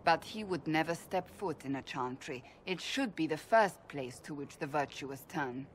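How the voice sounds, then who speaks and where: A woman playing a stern, devout warrior in a fantasy game, speaking formally and with measured, blunt earnestness. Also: low voice, animated, slight background noise, low-quality recording. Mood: earnest, troubled. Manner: formal, measured, deliberate phrasing. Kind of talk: dramatic video game dialogue.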